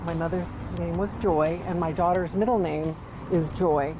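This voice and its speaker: A woman's voice: fairly low pitch, steady tone, moderate pace. A middle-aged woman who owns a charter business, speaking in an on-camera interview outdoors. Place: outdoors at a marina dock. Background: music.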